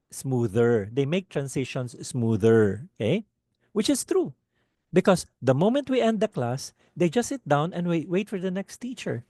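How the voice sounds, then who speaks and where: Filipino guy w a low mellow voice lecturing teachers, dry close sound, keeps saying okay?. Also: no room sound. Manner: animated, repeats "okay?" often. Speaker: teacher trainer.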